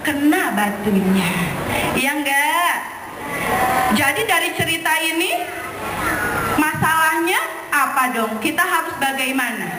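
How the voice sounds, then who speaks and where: A woman telling a story live to children, playful and animated, coaxing them with questions. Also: high-pitched, measured pace, pauses often. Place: echoey public venue at a live event. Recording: echoey venue, clear background noise.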